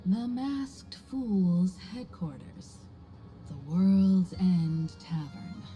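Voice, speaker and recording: A woman voicing a calm, wry, worldly traveller in a video game, composed and unhurried. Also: lowish voice. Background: soft game music.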